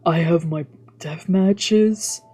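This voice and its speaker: A gentle young man from a visual novel, read by a woman, candid and lightly teasing. The voice is soft and husky, with music underneath. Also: on the low side, extremely slow, exaggerated voice. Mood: candid, probing.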